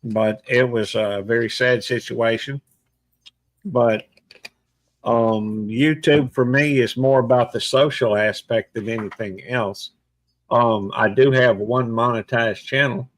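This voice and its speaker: Male, 60s, livestream host, relaxed halting chat, dry mic. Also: mid-range voice, no room sound. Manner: plainspoken, halting with fillers. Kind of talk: casual chat.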